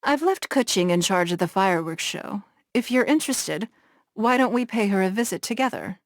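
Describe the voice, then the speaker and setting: A woman voicing a poised, shrewd noblewoman who leads a harbor city, speaking in a measured, formal and composed way in a game cutscene. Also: mid-range voice, animated, no echo, studio quality, close to an everyday voice. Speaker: game character.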